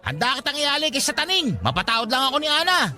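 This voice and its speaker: Man acting a high, bright-voiced lovesick young guy. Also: huge pitch swings, quick pace, full character voice. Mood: pleading. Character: lovesick, jealous young male fast-food worker, anxious and stubborn. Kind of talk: Tagalog radio drama acting.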